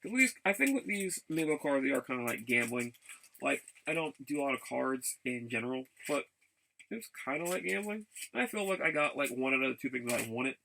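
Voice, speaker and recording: A man chatting casually in a rambling vlog, haltingly, often repeating and restarting his phrases. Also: medium pitch, lively intonation, creaky, bright, forceful tone, a little hiss or hum, poor audio. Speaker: vlogger.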